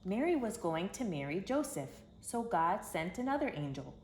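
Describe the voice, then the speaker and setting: A woman reading a children's story aloud in an expressive, emphatic storytelling manner. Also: medium pitch, moderate pace. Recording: roomy echo with background hiss.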